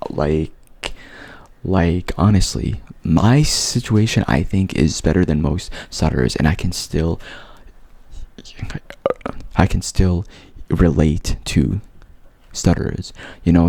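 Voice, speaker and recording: A man's voice: sits low, takes it slowly, warm tone, no echo. A young man with a stutter, earnestly telling his own story to his viewers, repeating sounds and words as he goes. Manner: earnest, open. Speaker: vlogger. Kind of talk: personal storytelling to camera.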